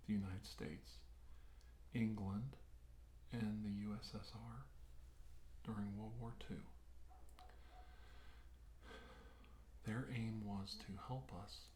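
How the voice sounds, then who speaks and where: A man playing a calm, reassuring doctor in a soft, often whispered ASMR medical roleplay, speaking in a soothing and deliberate way. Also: fairly low pitch. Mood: explanatory. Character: calm, reassuring male doctor examining an alien abductee. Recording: roomy, with faint background hiss.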